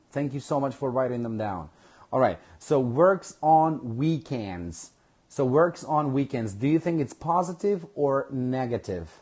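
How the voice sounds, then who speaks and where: Husky man teaching English slowly. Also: medium pitch, a little mellow. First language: Kurdish. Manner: slow, repeats phrases for learners. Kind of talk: live online English lesson.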